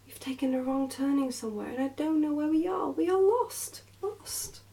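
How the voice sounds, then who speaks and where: The voice is a frightened, easily offended nursemaid from a storybook, acted out by a woman reading aloud. It lifts high in urgent exclamations, with a soft-toned timbre, heard at a little distance from the mic. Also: moderate pace, creaky. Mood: panicked.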